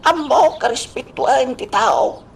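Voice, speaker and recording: Man acting a slow, creaky, dignified old villager. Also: very high voice, lively intonation, a little hiss or hum, heavily acted. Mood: earnest. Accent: Ilocano. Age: older. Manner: halting, pleading, earnest. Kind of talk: Ilocano radio drama acting.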